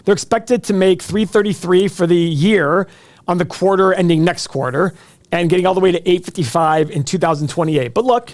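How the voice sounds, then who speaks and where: Male finance host, high-register voice, conversational live commentary that speaks straight to the audience. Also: a little fast. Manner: conversational, explains by addressing viewers directly. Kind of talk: live stock earnings commentary.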